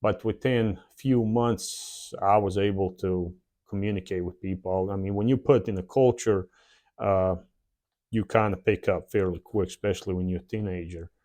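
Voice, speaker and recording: A man in his forties from the former Yugoslavia, with a Slavic accent and a Southern drawl, telling his own story plainly with many "uh" pauses in his shed workshop. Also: low-pitched, steady tone, warm tone. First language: a South Slavic language. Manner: plain, unhurried, frequent "uh" pauses. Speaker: channel host. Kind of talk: casual personal storytelling.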